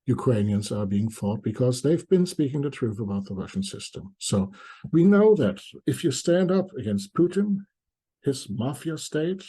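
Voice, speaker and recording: A middle-aged man from East Germany, giving reflective spoken commentary to viewers in deliberate short phrases with pauses. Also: medium pitch, animated, dark tone, slightly rough. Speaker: commentator presenting a video essay.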